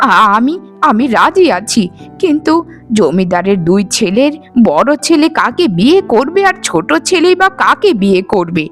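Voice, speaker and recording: A woman voicing a middle-aged village mother in a cartoon, expressive and pleading. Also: a little high, normal speed, faint music behind. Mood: surprised, delighted. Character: anxious, pleading village mother of twin daughters. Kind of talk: animated moral-tale voice acting.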